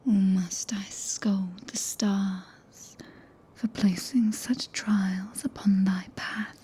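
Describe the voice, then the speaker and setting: Woman doing a regal british witch, super slow breathy whisper, cool but tender, bossy asmr roleplay. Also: medium pitch, fairly even intonation, mellow, heavily acted. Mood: cool, teasing authority. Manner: slow, archaic, imperious speech with long pauses. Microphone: close binaural ASMR microphone.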